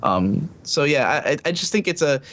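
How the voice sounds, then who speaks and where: A man's voice: a little high, sing-song, normal speed, a bit bright. A man co-hosting a casual podcast, rambling with lots of restarts and fillers and laughing often. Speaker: podcast co-host. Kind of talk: casual group podcast chat.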